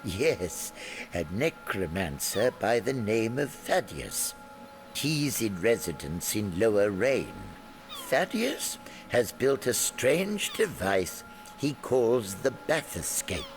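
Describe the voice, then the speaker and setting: A solemn old-lore explorer in a game, really low and slow, music playing behind. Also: male voice, very animated, full character voice. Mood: grave, instructive. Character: grave, learned male explorer of ancient lore. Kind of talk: video game character acting.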